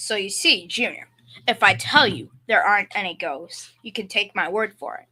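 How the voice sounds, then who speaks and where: A woman's voice: medium pitch, normal speed, bright, forceful tone, breathy edge. A young female performer playing a bumbling, confidently clueless working-class dad in a school old-time radio comedy, with folksy bad grammar. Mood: blustering, confident. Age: young teen.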